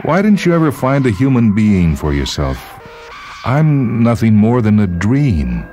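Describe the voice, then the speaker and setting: A man's voice: on the low side, sing-song, unhurried, warm tone, soft background music, pitched above the performer's own voice. A man voicing a sorrowful, accusing conjured man in a short-story reading, with a dramatic, wounded delivery.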